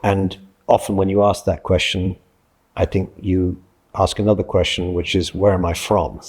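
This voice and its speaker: Male interviewee, about sixty, from Scotland. Low, slightly rough-edged voice, thoughtful and explanatory, self-correcting as he goes. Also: little pitch movement, normal speed, darkish tone. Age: late 50s to early 60s. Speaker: interviewee, journalist and freelance writer. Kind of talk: reflective interview answers.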